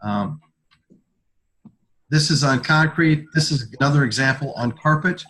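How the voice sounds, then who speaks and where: A man gives an informal product presentation as a sales presenter, speaking haltingly and often repeating words. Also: medium pitch, sharp tone, slight background noise, low-quality recording. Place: conference call.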